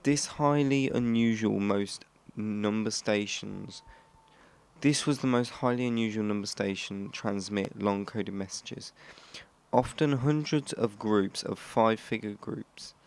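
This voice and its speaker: A man's voice: on the low side, takes it slowly, vocal fry, darkish tone, clean tone. A man reading scripted narration as a host, stumbling over and restarting phrases now and then. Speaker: host of a shortwave radio history channel.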